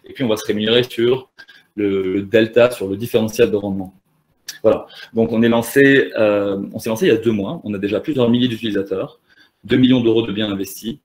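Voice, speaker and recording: A man in his thirties with a lowish voice, explaining his startup in a relaxed interview. He talks fluently but keeps stopping to restart a phrase, with little fillers in between. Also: brisk. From Lebanon and Canada. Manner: fluent pitch with frequent hesitations and restarts. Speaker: startup founder. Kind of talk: conversational interview answers.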